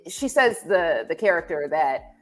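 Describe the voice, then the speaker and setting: A woman's voice: on the low side, sing-song, a little slow. A woman speaking as a podcast guest in a reflective discussion, thoughtful and often repeating words as she works out her point.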